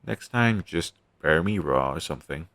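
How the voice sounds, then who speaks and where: Man voicing undead best friend, low gravelly voice, weary, caring, casual halting delivery, intimate audio roleplay, close dry sound. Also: lively intonation, fairly bright tone, dry recording, exaggerated voice. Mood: wry, casual. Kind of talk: audio roleplay, intimate one-sided dialogue.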